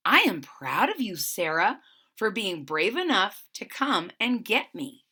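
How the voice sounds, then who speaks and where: Woman as a measured, motherly storybook cat. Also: mid-range voice, big pitch swings, pushed and bright, slightly rough, heavily acted. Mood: proud, gently scolding. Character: calm protective mother cat.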